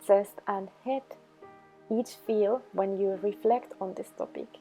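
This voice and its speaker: A woman leading a guided meditation, giving instructions in slow, measured phrases. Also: medium pitch, fairly even intonation, dark tone. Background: background music.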